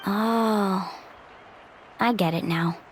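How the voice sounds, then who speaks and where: A woman's voice: medium pitch, extremely slow, a little hiss or hum, rough recording. A woman playing an earnest young guide in a game, a student addressing her mentor. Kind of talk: dramatic game dialogue. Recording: music behind the voice.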